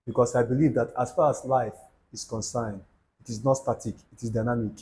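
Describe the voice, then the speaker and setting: A man's voice: mid-range voice, steady tone, fairly quick, darkish tone, a little hiss or hum. A young Nigerian man with an Igbo accent, talking to camera in a preachy, repetitive way and dropping Pidgin asides. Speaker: YouTube channel host. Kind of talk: motivational talk to camera.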